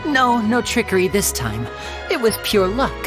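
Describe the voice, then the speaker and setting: A man voicing a smooth, playful young stage magician in a video game, theatrical and teasing, over game music. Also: very high voice, huge pitch swings, slow pace, heavily acted.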